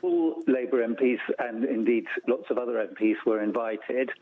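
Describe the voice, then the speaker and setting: A man being interviewed on the radio, explaining policy in a measured way with repetition for emphasis. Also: medium pitch, steady tone, slightly rough. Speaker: interview guest, policy campaigner. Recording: thin phone-like line.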